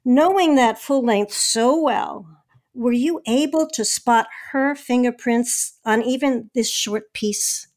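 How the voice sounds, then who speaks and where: A woman's voice: on the high side, slow, darkish tone. A woman hosting an interview, putting prepared questions to her guests. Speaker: host, interviewer.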